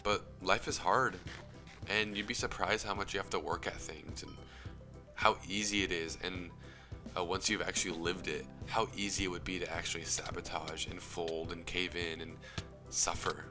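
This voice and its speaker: A young man with a very low voice speaks earnestly and reflectively, his phrases often trailing into soft, breathy whispers. Clear background music plays beneath him throughout. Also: steady tone, normal speed. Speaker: YouTube commentator. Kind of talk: earnest personal commentary. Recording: music playing under the voice.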